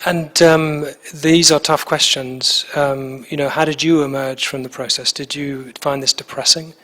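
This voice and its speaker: A man's voice: fairly high pitch, steady tone, measured pace, sharp tone. A man working as an interviewer, asking questions conversationally with informal, hesitant phrasing.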